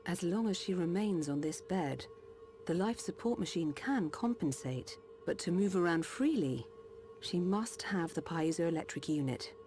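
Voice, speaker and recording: Female game doctor, calm and dutiful, lower register, prominent music underneath. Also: a little slow. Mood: steady, reassuring. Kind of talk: video game cutscene voice acting.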